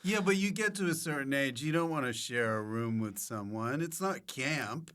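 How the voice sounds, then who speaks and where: Male podcast host, medium pitch, deadpan, offbeat, casual comedic chat. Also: normal speed. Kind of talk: casual comic interview chat.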